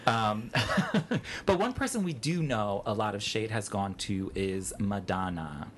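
A man co-hosting a podcast, chatting casually and excitedly with a friend. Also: lowish voice, slight background noise. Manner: chatty, animated, quick to agree. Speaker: podcast co-host. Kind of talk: casual chat.

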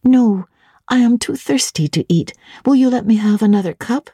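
Woman acting young governess, lighter raised pitch, animated, inquisitive, polite, earnest, storybook dialogue, dry recording. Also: normal speed, slightly rough, no room sound. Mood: eager, probing. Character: young governess, earnest, curious, eagerly questioning. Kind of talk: dramatic audiobook reading of classic literature.

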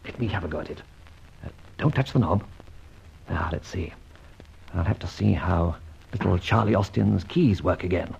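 A man acting a suave, playful gentleman adventurer in an old-time radio serial, with wry, theatrical, mock-grand phrasing. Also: low voice, normal speed, vocal fry, long pauses, a little hiss or hum. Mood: intent. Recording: dull, band-limited old radio recording.

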